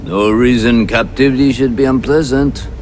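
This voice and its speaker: A man playing a courteous, calculating space pirate captain in a video game, measured, formal and dryly amused. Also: mid-range voice, darkish tone, slight background noise, natural voice. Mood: dry, gracious. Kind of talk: video game dialogue acting.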